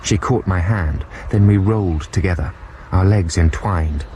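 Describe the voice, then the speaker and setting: A man's voice: deep voice, measured pace, dark tone. An actor playing a timid, earnest young German student who narrates his adventure in a German accent, in a radio drama. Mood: dreamy. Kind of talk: dramatised first-person narration. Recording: 1990s radio broadcast with noisy effects behind.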